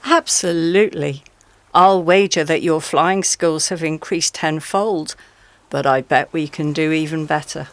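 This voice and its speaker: A woman playing a sly, flattering predator animal, a fossa, coaxing with mock deference in a radio play. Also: sits low, measured pace. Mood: urging, flattering. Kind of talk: radio play character acting.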